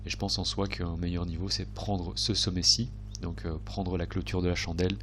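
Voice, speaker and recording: A man's voice: sits low, flat delivery, brisk, fairly bright tone, soft background music. A man with a slightly congested, cold-affected voice, giving hesitant, unscripted chart commentary as a trading video host, often correcting himself as he thinks aloud.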